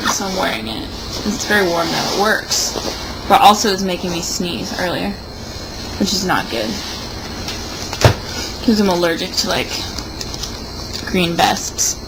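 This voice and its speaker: A young woman in a casual, rambling monologue, sounding tipsy and sardonic. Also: medium pitch. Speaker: vlogger. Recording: noticeable background noise.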